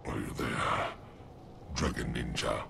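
Abyssal gravelly, solemn ninja warlord. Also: male voice, flat delivery, background noise, full character voice. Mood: solemn, resigned. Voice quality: very deep, gravelly. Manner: slow, weighty, solemn delivery. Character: dying aging ninja clan lord, solemn, proud, honorable villain. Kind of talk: dramatic video game cutscene acting.

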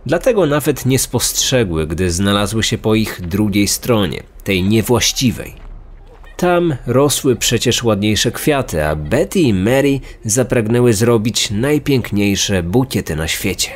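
A man's voice: on the low side, a little mellow. A man reading a scripted true-crime narration. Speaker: podcast narrator. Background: background music.

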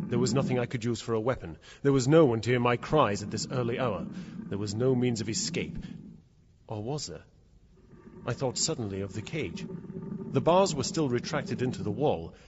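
A man's voice: medium pitch, expressive, normal speed, natural voice. Actor playing a frightened man telling his ordeal, muffled recording. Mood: hushed dread. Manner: suspenseful, theatrical, swinging from hushed to frantic. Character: terrified, trapped man recounting his ordeal with a beast. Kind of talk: dramatic first-person audio drama narration. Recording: dull, lo-fi audio with loud background noise.

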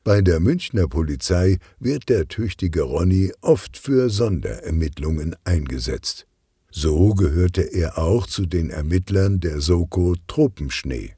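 Deep-voiced, lively male storyteller. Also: slow, breathy edge, no echo, studio quality. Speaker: audiobook narrator. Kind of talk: scripted narration of a children's detective story.

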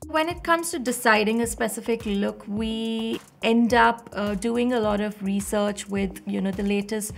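Woman makeup artist explaining stuff, bit of vocal fry, music under. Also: a little high, measured pace, few pauses. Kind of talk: interview.

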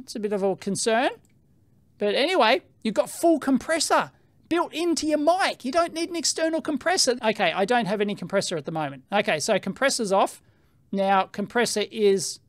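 A middle-aged man with an Australian accent, reviewing gear in a casual, excitable way, thinking aloud and laughing at himself in his electronics lab on a studio microphone. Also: high voice, no room sound. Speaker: electronics video host. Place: cluttered electronics lab indoors. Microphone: studio microphone on a stand.

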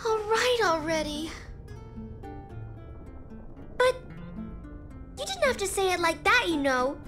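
A woman's voice: very high voice, lively intonation, normal speed, fairly bright tone, soft background music. A voice actress playing a spunky, defensive young female fighter in a video game, sounding pouty.

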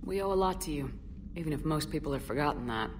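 A woman's voice: sits low, lively intonation, quick pace, slight background noise. An actress playing a composed female military commander in a video game, direct and sympathetic. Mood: sympathetic, curious.

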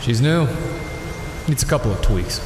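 Male actor as weary, secretive millionaire vigilante, terse, lively mid pitch, radio play with roomy echo. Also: background noise, close to an everyday voice. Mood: guarded. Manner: halting, terse. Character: guarded, weary rich man hiding a vigilante identity. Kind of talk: audio drama acting. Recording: echoey reverberant hall, faint music.

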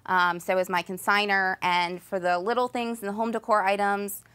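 A woman's voice: medium pitch, steady tone, moderate pace, pushed and bright, smooth. An American woman in her thirties, a shop owner explaining her business in a conversational, plain-spoken interview style. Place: small home decor shop, indoors. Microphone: lapel microphone.

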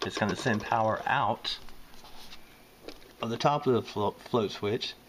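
A man's voice: lowish voice, a little slow, very noisy. A man with a Southern American accent, an HVAC technician, explaining a furnace wiring job step by step with plenty of uh fillers, filmed on a handheld camera indoors. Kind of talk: hands-on repair walkthrough. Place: indoors at a furnace in a basement or utility space. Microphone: handheld camera filming close-up work.

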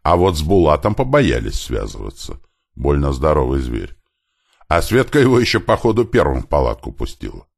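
A man's voice: a bass voice, huge pitch swings, moderate pace, gravelly. A man reading a fiction audiobook, narrating a scripted first-person story with lightly voiced dialogue.

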